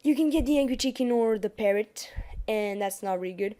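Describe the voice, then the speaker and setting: Young french girl talking over her card game, kinda high voice, keeps restarting and apologizing. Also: a little fast, dark tone. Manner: chatty, restarts often, apologetic. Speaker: gaming YouTuber. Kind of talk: casual gameplay commentary over screen capture.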